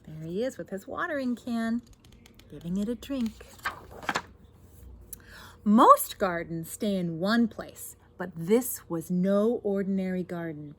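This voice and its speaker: Animated, unhurried woman reading to children. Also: mid-range voice. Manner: addresses young listeners, asks guiding questions. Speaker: teacher reading a picture book aloud. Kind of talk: expressive children's read-aloud with asides. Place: outdoors in a backyard garden. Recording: outdoor recording, faint noise, birdsong. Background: birdsong, faint outdoor noise.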